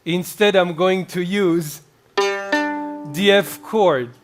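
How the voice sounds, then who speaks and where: A man from France with a French accent, doing stand-up with dry setups and deadpan punchlines. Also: high voice, very slow, over music. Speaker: comedian and violinist. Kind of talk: stand-up comedy.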